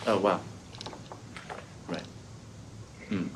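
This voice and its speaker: An actor at a script read playing a gleeful husband who drawls and gloats playfully. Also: male voice, sits low, wildly swooping pitch, very slow, creaky, noisy background, heavily acted. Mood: gloating. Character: gleeful husband savoring won money.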